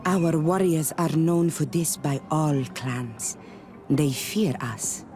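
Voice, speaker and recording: A mystical clanswoman in a fantasy game. Her voice is deep for a woman, teasing and proud, with formal, stilted phrasing. Music runs clearly behind her. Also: slow pace, a little mellow. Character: playful, cryptic native clan woman, proud and mystical. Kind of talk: video game character acting. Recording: music under the dialogue.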